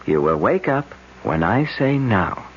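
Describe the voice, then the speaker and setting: A man's voice: lowish voice, very animated, measured pace. An actor playing an easygoing young man who hypnotizes a friend, in a 1970s radio drama. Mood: soothing. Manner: easygoing, soothing. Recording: dull old radio broadcast, faint hiss.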